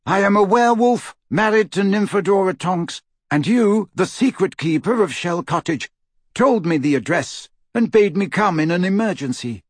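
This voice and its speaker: A man's voice: medium pitch, big pitch swings, unhurried, husky, dry recording, clean studio sound. A man voicing a weary middle-aged male wizard in an audiobook, with emphatic, formal declarations. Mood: urgent, declaring. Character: frightened then overjoyed weary middle-aged male wizard werewolf friend.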